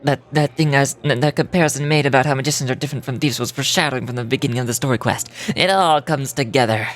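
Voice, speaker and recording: A man's voice: mid-range voice, rapid-fire, faint music behind. A man streaming a game story, reading dialogue aloud and commenting on it.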